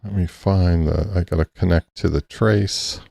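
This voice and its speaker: Slow, deep, gravelly man. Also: fairly even intonation, mellow, no room sound, studio quality. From Texas. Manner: halting, thinks aloud with many restarts and fillers. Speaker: ham radio live stream host. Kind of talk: casual live stream screen walkthrough.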